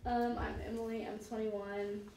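Brief: early-twenties woman, relaxed and hesitant, distant mic, roomy sound. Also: mid-range voice, measured pace, slight background noise. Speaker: focus group participant. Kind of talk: casual group discussion. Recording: echoey room from far off.

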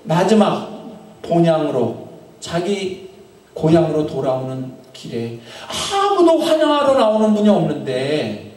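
A middle-aged male pastor tells a story during a sermon in a small chapel, speaking into a handheld mic, with long pauses and phrases that trail off. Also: high voice, darkish tone. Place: small chapel in front of an altar. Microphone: handheld microphone. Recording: very echoey room, from a distance.

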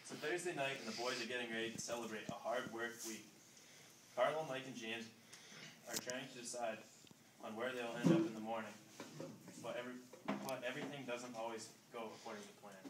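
A man's voice: medium pitch, fairly even intonation. Echoey young man reading narration from afar. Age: young adult. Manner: halting reading with repeated words. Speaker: narrator of a student radio drama. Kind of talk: scripted narration, read aloud. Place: classroom, indoors. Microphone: distant handheld camera. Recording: echoey, noisy room, distant mic.

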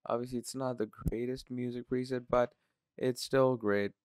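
A man giving a casual screen-recorded software tutorial, filling his talk with "obviously" and "you're gonna". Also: mid-range voice, fairly even intonation, moderate pace, smooth, no echo. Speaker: tutorial presenter.

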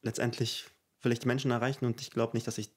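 Twenties male guest from Ukraine, thoughtful and hesitant, narrow pitch range. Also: flat delivery, fast, slightly rough. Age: 20s. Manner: thoughtful, many fillers, soft laughs. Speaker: guest musician. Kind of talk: conversational podcast interview. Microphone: headphones, studio mic at home.

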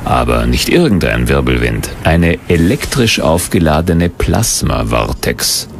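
Deep, lively male documentary narrator. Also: a little slow, gravelly, background noise.

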